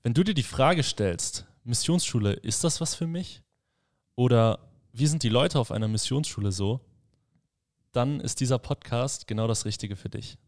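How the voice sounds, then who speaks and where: A man's voice: mid-range voice, moderate pace, dry recording, studio quality. A man of twenty-one hosting a podcast, asking questions in an easygoing way with lots of fillers. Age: 20s. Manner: relaxed, friendly questioning with many fillers. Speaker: podcast host, leader at a mission school. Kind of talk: casual podcast conversation.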